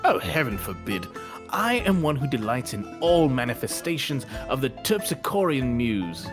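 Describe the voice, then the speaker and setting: A man playing a pompous, wordy gentleman customer, affectedly polite and florid, in a comedy sketch. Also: medium pitch, sing-song, normal speed, music in the background, lightly acted. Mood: politely insistent. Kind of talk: British sketch comedy reenactment.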